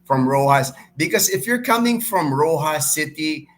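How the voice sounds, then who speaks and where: A man's voice: on the high side, lively intonation, normal speed, a bit bright. A middle-aged man from the Philippines hosting a live stream, chatting casually and informally with viewers and often repeating himself. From Philippines, born and raised in Manila until twelve.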